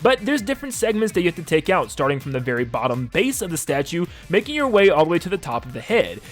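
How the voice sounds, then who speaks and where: A man with a fairly high voice hosts a video game retrospective over background music. His delivery is very animated and emphatic, stretching stressed words as he gushes about what he is reviewing. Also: fast. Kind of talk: enthusiastic game retrospective commentary. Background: game soundtrack music under the voice.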